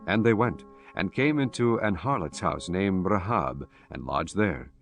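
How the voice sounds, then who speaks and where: Guy narrating scripture, lowish voice, solemn and formal, faint music behind. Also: expressive, measured pace.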